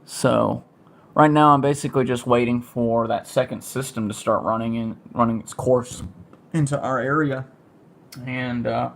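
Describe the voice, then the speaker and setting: A man's voice: medium pitch, steady tone, normal speed, vocal fry. An American man giving casual, informal commentary as he hosts a weather livestream from a room at home. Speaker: livestream host, amateur storm watcher. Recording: noisy amateur livestream audio.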